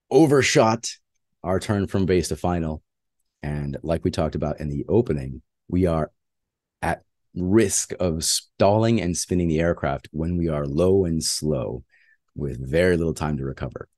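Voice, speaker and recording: A man's voice: low-pitched, lively intonation, measured pace, darkish tone, no echo, studio quality. A man who is a flight instructor, giving a plainspoken slide lecture on flying with frequent filler uhs.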